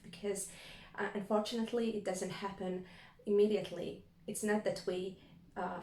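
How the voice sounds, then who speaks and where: A woman's voice: medium pitch, darkish tone, echoey room. Woman reading tarot. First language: Latvian. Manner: reflective, thinking aloud while reading cards. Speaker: tarot reader. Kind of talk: tarot reading addressed to viewers.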